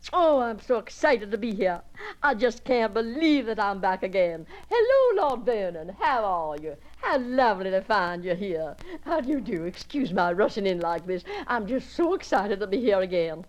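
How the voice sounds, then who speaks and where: A woman's voice: on the high side, sing-song, a little slow, poor audio. Woman playing a gushing socialite. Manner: breathless, gushing, exclamatory. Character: gushing effusive American society woman. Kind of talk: solo character monologue.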